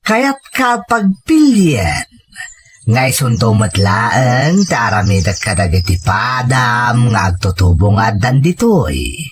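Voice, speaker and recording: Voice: mid-range voice, sing-song, slow. An actor playing a grave older rebel leader in an Ilocano audio drama. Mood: grave, measured. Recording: background music under the voice.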